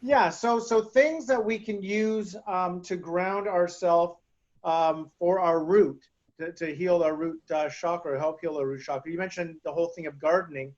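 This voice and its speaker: High-voiced man on a muffled call. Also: normal speed, darkish tone. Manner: hesitant, many fillers and restarts. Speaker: guest speaker on grounding and chakras. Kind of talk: casual explanatory talk over video call. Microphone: video call.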